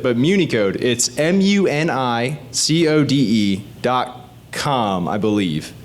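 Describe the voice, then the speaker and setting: A man, a state legislative candidate giving an informal, explanatory talk to a community group and asking the audience questions. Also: mid-range voice. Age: 30s. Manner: conversational and explanatory, often asks the audience questions.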